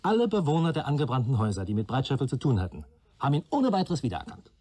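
An actor playing an analytical police assistant in a radio play, methodically reasoning step by step. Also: male voice, medium pitch, huge pitch swings, very fast, dark tone.

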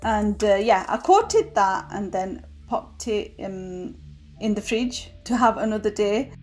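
A woman giving a relaxed, chatty voice-over of her home cooking, with fillers now and then. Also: mid-range voice, slow, faint music behind. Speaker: cooking vlogger. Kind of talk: casual voice-over narration.

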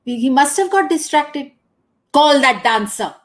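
A woman voicing a stern male king in a told folktale, handing down judgments in a loud, commanding tone. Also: high-pitched, expressive, unhurried, pitched above the performer's own voice.

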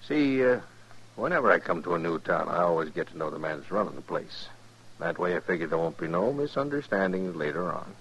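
A man playing a sly, insinuating frontier gambler in a 1950s radio western, with drawn-out folksy speech and a husky voice. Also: low-pitched, big pitch swings, a bit bright, slight background noise, exaggerated voice. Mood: oily, persuasive. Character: smooth, scheming gambler, a stranger in town. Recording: dull 1950s radio recording.

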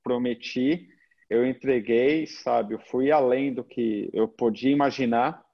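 A man's voice: medium pitch, slow. São Paulo man chatting, slightly muffled. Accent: São Paulo Brazilian Portuguese. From São Paulo, Brazil. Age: 30s. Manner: informal, slangy, frequent tag questions. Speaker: interview guest, agency founder. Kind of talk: casual anecdotal chat. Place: indoor room at home, video call. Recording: slightly dull video-call audio.

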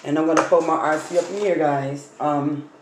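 A woman with a slightly hoarse voice chats easily to her followers as she opens her food and eats. She sits at a dining table at home, recorded on a phone propped in front of her. The room gives her voice a noticeable echo, with a faint hiss underneath. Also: sits low, steady tone, normal speed. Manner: chatty, talks while unpacking and eating food. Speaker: vlogger. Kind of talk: casual mukbang storytime chat. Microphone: phone camera on the table. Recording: echoey room with faint noise.

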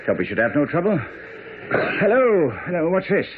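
An actor playing a brisk, confident, keen detective who lectures incisively in a radio drama. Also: male voice, high-pitched, big pitch swings. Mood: eager. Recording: phone-like, faintly noisy old radio broadcast.

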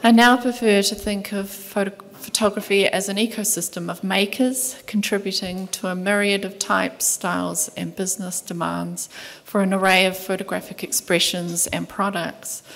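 Soft-spoken New Zealand woman in a hall. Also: medium pitch, fairly even intonation, gravelly. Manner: soft-spoken, measured reading. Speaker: conference speaker, museum curator. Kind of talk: read conference paper. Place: large lecture hall with audience. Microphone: table microphone at panel desk. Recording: reverberant lecture hall.

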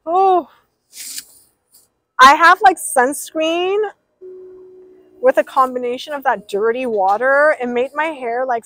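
A woman's voice: high-pitched, fairly bright tone, no room sound, rough recording. A young woman livestreaming outdoors by a pool, rambling casually and reading out viewers' names. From Persian.

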